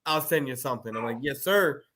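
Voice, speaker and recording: A man's voice: mid-range voice, lively intonation, fairly quick, fairly bright tone. A man chatting casually as a panelist, restarting his phrases again and again. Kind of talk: casual chat.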